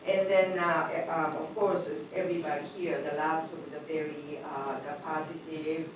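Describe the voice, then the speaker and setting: A middle-aged woman, speaking at a public meeting as a community liaison, halting and full of fillers. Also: low-pitched, flat delivery, background noise. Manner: halting, many fillers, loose grammar. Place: large echoey meeting room. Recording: echoey room from a distance.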